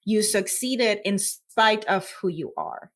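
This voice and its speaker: Podcast guest: woman with a Puerto Rican accent, medium pitch, thoughtful and emphatic conversational delivery. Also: expressive, slow, a bit bright. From Puerto Rico. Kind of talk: conversational interview answers.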